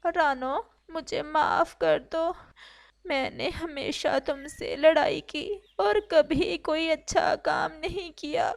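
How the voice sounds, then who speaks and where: A woman voicing a gravely injured, dying mother crow in a cartoon story, sorrowful and pleading, with slow laboured delivery. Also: sits high, full character voice. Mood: remorseful, dying. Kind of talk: Hindi cartoon story acting.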